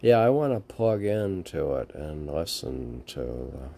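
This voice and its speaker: Slow, low-voiced man with a gravelly creak. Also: very animated, dark tone. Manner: musing, asks and answers his own questions. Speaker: poetry reader and commentator. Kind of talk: casual rambling commentary and poetry reading.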